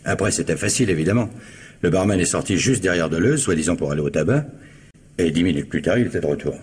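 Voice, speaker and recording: An actor playing a world-weary police investigator in a 1950s-style French radio crime drama, explaining in a measured, dryly ironic way. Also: male voice, low-pitched, expressive, a little mellow, breathy edge, full character voice. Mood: explanatory. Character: world-weary male police investigator, matter-of-fact and sardonic. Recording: noisy old radio drama recording.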